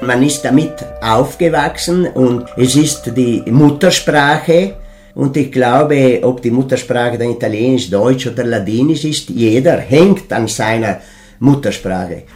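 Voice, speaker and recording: A man reflects in an even, measured way. His voice sits at a middle pitch. Faint music plays underneath. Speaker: interviewee.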